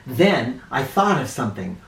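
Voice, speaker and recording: A man hosting a children's read-aloud, narrating a storybook in an animated style. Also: mid-range voice, moderate pace, creaky. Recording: echoey room, distant mic.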